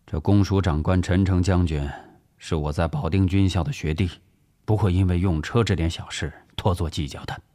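A composed middle-aged officer, voiced by a male narrator speaks in an audiobook drama. The voice sits low and soft, husky in texture. He sounds measured, steady and in quiet command. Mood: calm, reassuring.

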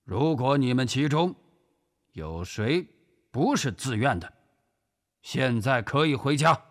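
A man's voice: low-pitched, expressive, moderate pace. A man voicing a sincere, commanding army general in an audiobook, firm and earnest. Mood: earnest, loud.

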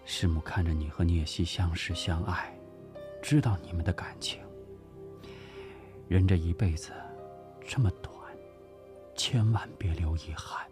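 A man voicing a gentle but firm older woman in an audiobook, soft, breathy and coaxing. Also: low-pitched, measured pace, long pauses, over music. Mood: gentle urging.

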